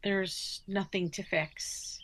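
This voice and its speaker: A woman guiding a yoga nidra relaxation in a soothing manner, speaking in short phrases with long pauses. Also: medium pitch, fairly even intonation, a bit bright, a little hiss or hum. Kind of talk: guided relaxation meditation, slow spoken instructions.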